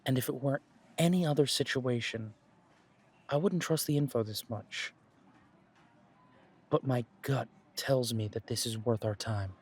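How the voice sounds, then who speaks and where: A man playing a protective, dutiful werewolf pack leader in an audio drama, speaking in an earnest, measured way with dry humour. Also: mid-range voice, breathy edge. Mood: earnest, reasoning. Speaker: voice actor in audio roleplay. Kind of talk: scripted audio roleplay drama.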